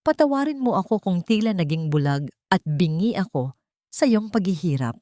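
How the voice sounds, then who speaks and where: A woman's voice: on the low side, big pitch swings, moderate pace, mellow, dry recording, studio quality. Remorseful young Filipino husband, read by a woman. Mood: remorseful, pleading. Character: remorseful young husband pleading with his estranged wife.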